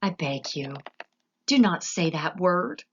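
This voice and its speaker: A woman reading aloud and voicing a blunt, no-nonsense castle servant woman, close to her own slow, husky voice. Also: fairly low pitch, expressive, highs cut off, slight background noise. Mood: hushing.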